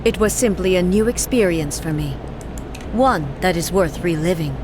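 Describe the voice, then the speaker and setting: A woman voicing a stern, solemn female god-ruler in a game, speaking in a measured, formal, calm way over steady background noise. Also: fairly low pitch, natural voice.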